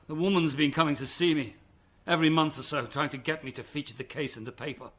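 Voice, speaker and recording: A man's voice: on the high side, steady tone, quick pace, a bit bright. An actor playing a self-important but easily frightened male small-town newspaper editor, theatrical and flustered, in a radio drama. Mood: sheepish.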